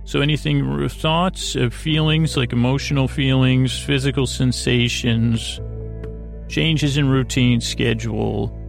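A man hosting a bedtime podcast in a rambling, halting, goofy and self-deprecating way, with a creaky, gravelly voice. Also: mid-range voice, fairly even intonation, faint music behind. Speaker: podcast host.

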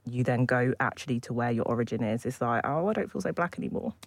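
A British man talks in a relaxed panel discussion, weighing his words and laughing easily. His voice sits at an everyday middle pitch. The room is dry and close, with no echo. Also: fast. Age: adult, past his twenties. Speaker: panel guest. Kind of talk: casual discussion.

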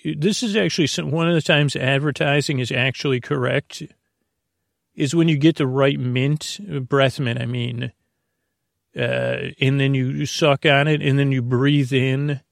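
Male bedtime podcast host, gravelly fry, close dry sound, halting and digressive. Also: medium pitch, no echo. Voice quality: creaky. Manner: meandering, halting, self-interrupting, goes off topic. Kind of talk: rambling bedtime podcast monologue.

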